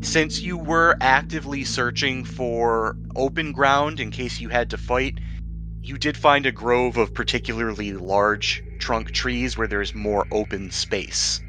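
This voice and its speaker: A man's voice: medium pitch, steady tone, a little slow, sharp tone, slight background noise. A man running a tabletop game, narrating scenes and explaining rules in an improvised way. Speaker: game master of a tabletop RPG podcast.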